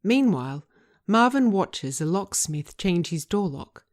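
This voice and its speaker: A woman's voice: fairly low pitch, very animated, a little slow, darkish tone. A woman reading scripted screenplay narration as its writer and narrator.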